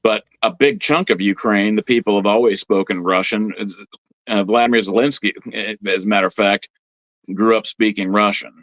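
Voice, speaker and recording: A man with a slightly low voice, recorded close and dry. He talks like a podcast guest giving an informal history explanation, halting and doubling back on words as he lays things out. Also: fairly even intonation, a bit bright, no room sound, poor audio. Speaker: guest priest on a religious podcast.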